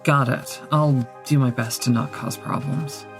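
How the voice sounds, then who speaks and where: An actress plays a newly turned young vampire woman in an audio drama, weary and compliant. Her voice is low and creaky, hesitant and subdued, over clear background music. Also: steady tone, a little slow.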